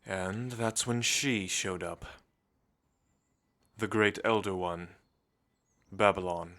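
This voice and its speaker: A man playing an elegant, centuries-old vampire in an ASMR roleplay, suave and theatrical, with musing pauses. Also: sits low, steady tone, fairly bright tone. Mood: wistful, solemn. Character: centuries-old elegant male vampire, languid, teasing, world-weary.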